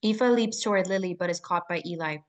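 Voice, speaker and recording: Lower-voiced woman reading screenplay directions. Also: a little fast. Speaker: stage directions reader at a screenplay table read. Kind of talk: scripted narration of screenplay action.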